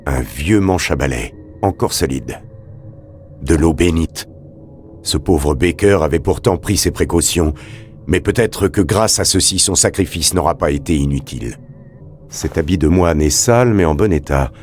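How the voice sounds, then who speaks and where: A man playing a grave adventurer hunting demons in a video game, speaking with formal, deliberate, theatrical diction. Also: very deep voice, a little mellow, soft background music. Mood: measured, observant. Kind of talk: video game voice acting, narrated observations.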